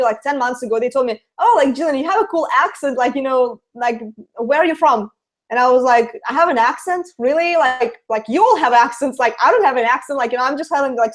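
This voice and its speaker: A young woman with a Russian accent, chatting as a guest in a live interview and filling her talk with "like" and "you know". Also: sits high. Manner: chatty, lots of fillers.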